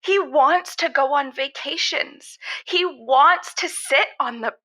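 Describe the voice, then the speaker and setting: High, bright, indignant young socialist. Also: female voice, slow, full character voice. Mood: righteous. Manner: theatrical, emphatic, indignant. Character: distraught young idealistic socialist woman, indignant radio call-in guest. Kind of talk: comedic audio drama acting.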